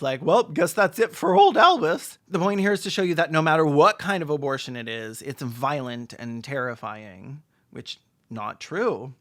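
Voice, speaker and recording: A video commentator giving sarcastic, comedic film commentary with deadpan asides and mock-dramatic emphasis. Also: medium pitch.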